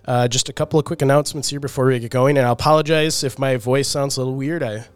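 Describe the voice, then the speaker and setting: A man's voice: mid-range voice, fairly even intonation, fast talker, smooth, dry recording. A man co-hosting a podcast in casual chat, a little congested from a cold, talking loosely with many fillers. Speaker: podcast co-host.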